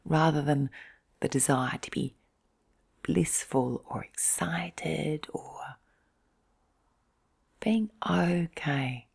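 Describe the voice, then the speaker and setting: A middle-aged woman in a soft, whispery, breathy voice, giving a gentle, reflective bedtime chat in an intimate ASMR-like style. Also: low voice, drawn-out words, pauses often. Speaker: host of relaxation and sleep channel.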